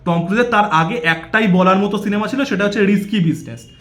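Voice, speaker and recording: A high-voiced man with an Indian Bengali accent talks as an opinionated movie reviewer. He explains his points emphatically and often switches into English phrases. The sound is roomy, with clear echo. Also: a little fast, fairly bright tone. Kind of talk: opinionated film commentary to camera.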